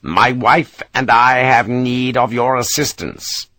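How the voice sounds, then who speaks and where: Man doing a mild weary gentleman, tentative, dry sound. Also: medium pitch, slow pace, no room sound. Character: tall thin weary gentleman, timid and mild.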